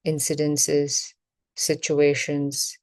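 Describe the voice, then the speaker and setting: A woman leading a guided meditation as facilitator, speaking in measured short phrases with pauses between them. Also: low voice, monotone, darkish tone, no echo.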